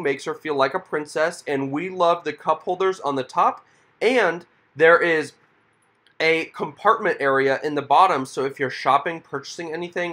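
A man with a bright, forceful, fairly high voice narrates his vlog in an upbeat, chatty way, calling out to viewers as "you guys". He is recorded on a handheld phone camera while walking through an indoor mall. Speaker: vlog host.

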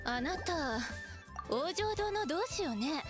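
An unwelcoming townswoman in an anime game. Her voice is high, and her manner is firm and curt. Music is clearly heard behind her. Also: lively intonation, slow. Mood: annoyed.

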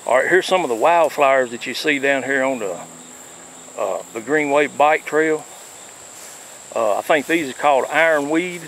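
A man filming as he walks a nature trail by a river, narrating casually with many fillers and pauses, unsure of plant names. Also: mid-range voice, expressive, fairly bright tone, low-quality recording. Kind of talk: casual narration while walking. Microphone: handheld camera mic. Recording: faint outdoor noise with crickets.

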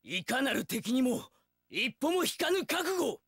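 A fiercely loyal young samurai spearman in a video game. His voice is very high for a man and forceful, with a raspy edge, and he makes archaic warrior declarations with wildly swinging pitch. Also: big pitch swings, a little slow, no room sound, clean studio sound, heavily acted. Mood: fervent, battle-ready. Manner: archaic formal warrior speech, bellowed declarations. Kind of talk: video game battle voice lines.

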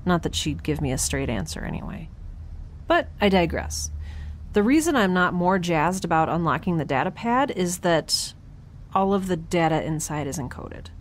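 A woman's voice: fairly low pitch, expressive, moderate pace, a little hiss or hum, close to an everyday voice. A woman playing a quirky young scientist in space, recording a chatty, wry spoken log for an audio drama. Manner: chatty, wry, self-interrupting, informal.

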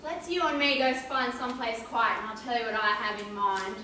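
Noisy far-off stage, actress as scheming old woman, conspiratorial, high-pitched. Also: fairly even intonation, lightly acted. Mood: sly, conspiratorial. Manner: drawn-out, conspiratorial. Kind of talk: amateur stage play acting. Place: large theatre stage, indoors. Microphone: far audience camera. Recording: loud noise, echoey distant theatre.

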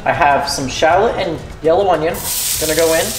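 A man's voice: fairly high pitch, brisk, reverberant, poor audio. A young man hosting his own fishing and cooking video, explaining each step of rigging and cooking in a casual, instructive way. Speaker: fishing channel host. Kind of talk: casual how-to narration. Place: home kitchen and boat deck.

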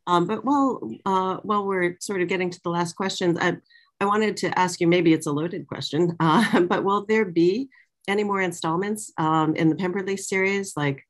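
A woman's voice: lowish voice, normal speed. A middle-aged woman moderating a casual conversation on a video call from a small office. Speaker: interviewer and moderator. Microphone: video call computer microphone.

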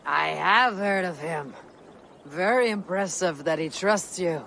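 A deep female voice as a bold Viking warrior. She is blunt, measured and resolute, with a faint noise in the background. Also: vocal fry, fairly bright tone, exaggerated voice. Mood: sly, flirtatious. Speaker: game protagonist. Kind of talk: dramatic video game cutscene acting.

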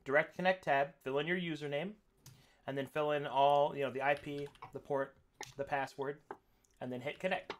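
Casting note: relaxed male dungeon master, slightly higher voice, patient with players. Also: moderate pace, slight background noise. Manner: laid-back, talks players through software setup. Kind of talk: casual chat setting up a game.

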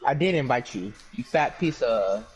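A young man in casual voice chat during a basketball video game, loud, joking and teasing his friends. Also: medium pitch, lively intonation, moderate pace, a little hiss or hum. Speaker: gamer in voice chat. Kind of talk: casual trash-talking party chat.

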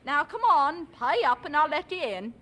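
An actress playing a blunt, money-minded innkeeper with rough colloquial speech in a radio drama. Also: female voice, sits high, sing-song, normal speed, pushed and bright, heavily acted.

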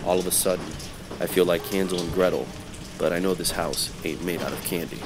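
A man playing a gruff, wry, world-weary supernatural private detective, narrating in old-time noir radio style. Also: sits low, fairly even intonation, fairly quick, background noise. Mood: wry, brooding. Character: hard-boiled, wisecracking male supernatural private detective.

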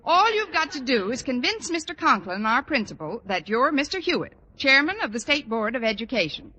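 An actress playing a scheming, wisecracking schoolteacher in a 1940s radio sitcom, with a dry, sarcastic delivery. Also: female voice, high-pitched, sing-song, bright, forceful tone, breathy edge, heavily acted. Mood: mocking. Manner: dry, sarcastic, fast-talking when cornered. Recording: noisy old radio recording, long hall reverb.

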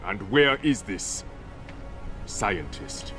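A regal warrior king from a video game, low in pitch. He speaks formally and sternly, with solemn authority, over faint music. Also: big pitch swings, drawn-out words, fairly bright tone, full character voice. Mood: stern, resolute. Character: stern, regal male warrior king guarding his people. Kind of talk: video game cutscene acting.

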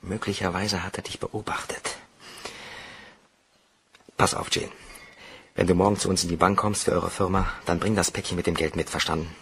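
A nervous guilty bank clerk in an old crime radio play, low voice, bit of noise. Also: male voice, flat delivery, normal speed, long pauses, a bit bright. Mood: tense, resolute. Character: nervous guilty young bank clerk, embezzler, anxious and defensive. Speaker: radio drama actor.

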